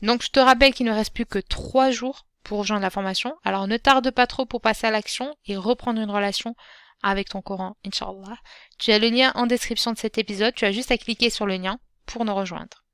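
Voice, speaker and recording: A woman hosting her own podcast as a Quran teacher. She speaks gently and encouragingly, addressing the listener directly, with frequent fillers and restarts. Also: medium pitch, steady tone, fairly quick, smooth, no room sound, clean studio sound. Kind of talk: solo podcast talk.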